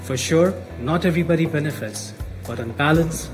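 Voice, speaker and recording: A man, a researcher, explaining his findings in a measured way. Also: a little high, over music.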